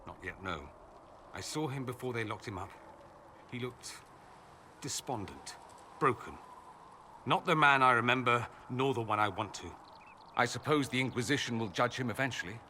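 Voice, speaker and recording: An actor playing an urbane, sardonic foreign mage in a video game, with dry, theatrical wit. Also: male voice, mid-range voice, animated, moderate pace, long pauses, background noise, close to an everyday voice. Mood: somber, regretful. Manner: witty, dry, theatrical sarcasm. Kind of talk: video game dialogue acting.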